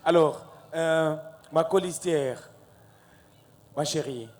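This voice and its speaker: A man hosting a televised talk panel. He draws his questions out and gets lively when he announces what comes next. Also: fairly high pitch, takes it slowly. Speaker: talk-show host. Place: television studio set.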